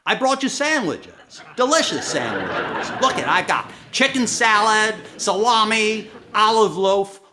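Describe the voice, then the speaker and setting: A man playing a fussy, penny-pinching middle-aged comedian in a radio comedy, with an exasperated, drawn-out theatrical delivery. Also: sits high, big pitch swings, noisy background. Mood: eager, salesmanlike. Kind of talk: 1960s radio comedy recreation acting.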